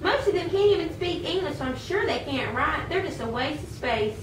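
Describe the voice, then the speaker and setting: Woman playing an indignant high-voiced housewife. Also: expressive, moderate pace. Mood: indignant, worried. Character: indignant, fearful housewife hostile to newcomers. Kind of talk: amateur puppet show dialogue. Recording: echoey room, distant mic, clear noise.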